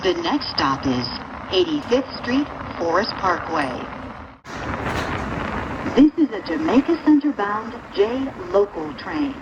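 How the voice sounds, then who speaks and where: A woman reading formal, scripted subway announcements in deliberate phrases over a train's public-address speaker. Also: big pitch swings. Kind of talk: recorded transit announcements, scripted. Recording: dull, noisy recording inside moving train. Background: rumbling train noise.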